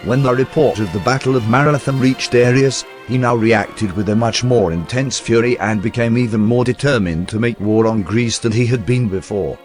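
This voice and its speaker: A man reading a historical account aloud in a measured, storytelling documentary narration. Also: mid-range voice, soft background music. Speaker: reader of historical text.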